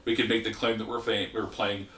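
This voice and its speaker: A man hosting a gaming channel, explaining a card game deck in a rambling, relaxed way with a congested voice that is giving out. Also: on the low side, a little fast, reverberant, far from the mic, slight background noise.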